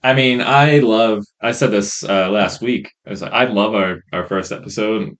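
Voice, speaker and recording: A man's voice: fairly low pitch, normal speed, fairly bright tone. A man speaking casually as the co-host of a podcast. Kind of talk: casual chat.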